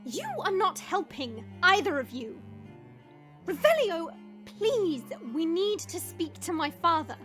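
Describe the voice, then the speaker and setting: Girl doing a proud dragon princess, high voice, formal n commanding, audio drama w/ loud music. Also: very animated, slow pace, exaggerated voice. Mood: exasperated, pleading. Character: proud young dragon princess in human form, exasperated.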